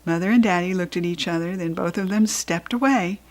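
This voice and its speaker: A woman with an American accent narrating a children's storybook expressively, with animated, dramatic emphasis. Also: on the low side, a little fast. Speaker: storybook reader.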